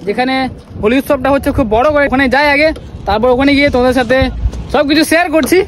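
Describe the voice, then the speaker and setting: A man's voice: very high-pitched, very fast. A young man talking casually to viewers as a vlogger, addressing them directly. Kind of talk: casual vlog narration to viewers. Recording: loud music and crowd noise behind.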